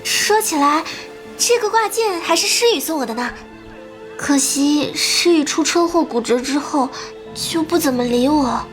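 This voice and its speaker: A woman playing a sweet, timid young female student in an animated drama, with a girlish, hesitant manner. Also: high-pitched, unhurried, soft background music. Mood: wistful, friendly.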